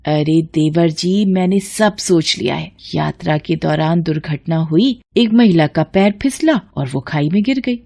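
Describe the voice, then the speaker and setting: A woman's voice: sits low, a little slow, vocal fry, hardly any pauses, darkish tone, exaggerated voice. A woman voicing a vain, scheming elder daughter-in-law in a cartoon family melodrama, with a theatrical, wheedling manner.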